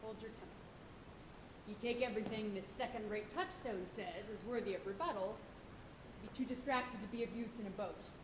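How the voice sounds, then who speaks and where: A woman's voice: a little fast, natural voice. A woman playing a stern, cautious whaling first mate, with formal, theatrical delivery on stage. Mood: stern, irritated. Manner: formal, commanding, rebuking. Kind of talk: theatrical stage acting, Shakespearean diction. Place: college auditorium stage, live performance. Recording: echoey theatre stage, rumbling background noise.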